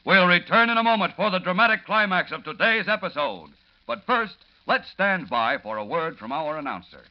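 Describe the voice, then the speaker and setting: A man narrating a 1940s radio serial with dramatic urgency in a husky voice. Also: sits high, animated, moderate pace. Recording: dull vintage radio recording.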